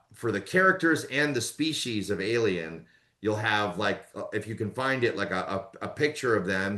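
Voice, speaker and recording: A man with a fairly low voice answers interview questions in a loose, easygoing way. He pauses and fills gaps with "like" and "you know" as he thinks aloud, and the room gives his voice a noticeable echo. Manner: relaxed, rambling with many fillers. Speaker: audiobook narrator.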